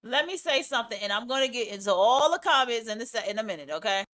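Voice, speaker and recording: A woman's voice: fairly high pitch, lively intonation, quick pace, fairly bright tone. A woman hosting a livestream podcast and reacting to a video, talking bluntly and with profanity. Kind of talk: casual livestream commentary.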